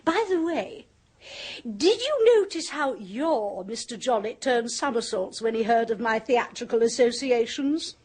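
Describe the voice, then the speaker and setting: Brief: a sardonic sham medium, older radio-play lead, slightly high, slow, theatrical and cutting. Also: female voice, expressive, darkish tone, breathy edge. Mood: mocking. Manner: theatrical, mocking, clipped upper-class delivery. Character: jaded woman, sham spirit medium, sardonic. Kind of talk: 1970s radio drama acting.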